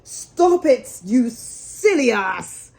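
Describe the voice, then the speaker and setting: A woman's voice: fairly high pitch, sing-song, very slow, vocal fry, darkish tone, full character voice. Woman voicing a sensible, bossy storybook water rat. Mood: alarmed, scolding. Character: genial water rat, sensible, mildly bossy older friend.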